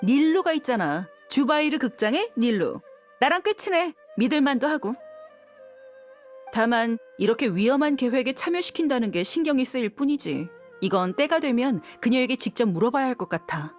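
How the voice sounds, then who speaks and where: A woman's voice: high voice, lively intonation, normal speed. A woman playing an easygoing, confident female fighter in a video game, talking casually and frankly. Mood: casual, thoughtful. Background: background music.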